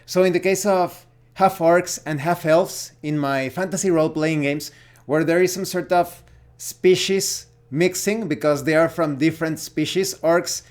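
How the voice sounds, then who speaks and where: Higher-pitched man explaining in deliberate, broken phrases. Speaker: video host on tabletop role-playing games. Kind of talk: opinionated explainer talk to camera.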